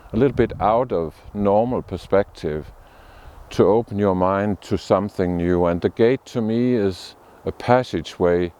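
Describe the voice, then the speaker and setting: A man from Denmark, a sculptor, speaking in a documentary interview with thoughtful, unhurried restarts. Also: fairly low pitch, warm tone. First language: Danish.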